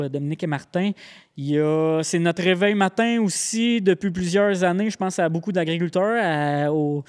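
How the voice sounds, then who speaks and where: A man co-hosts a podcast in easy, plain talk. His voice sits somewhat high for a man. He introduces a guest at an easy, casual pace. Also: darkish tone. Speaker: podcast co-host.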